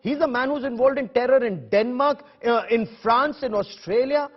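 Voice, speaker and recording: A man's voice: extremely high pitch, normal speed, slightly rough, muffled recording. A man with an Indian accent hosting a TV news debate, insistent and confrontational, with emphatic repetition. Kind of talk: combative news debate moderating.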